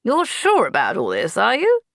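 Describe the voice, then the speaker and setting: A woman's voice: a little high, huge pitch swings, moderate pace, vocal fry, fairly bright tone, no room sound, studio quality, voice pushed up, heavily acted. A woman reading aloud, voicing a polite, nervous young male army lieutenant in a storybook. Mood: bewildered.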